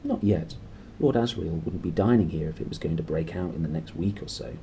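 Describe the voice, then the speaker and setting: A man's voice: deep voice, brisk, dark tone, a little hiss or hum. A man voicing a self-righteous male animal spirit companion in a storybook, prim and scolding. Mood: cautious. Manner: scolding, superior.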